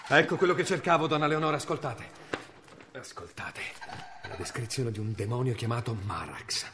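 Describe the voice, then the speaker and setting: An actor playing a stern medieval male inquisitor in a radio drama, measured and brooding as he reads from an old book. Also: mid-range voice, lively intonation, noisy background, lightly acted. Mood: commanding.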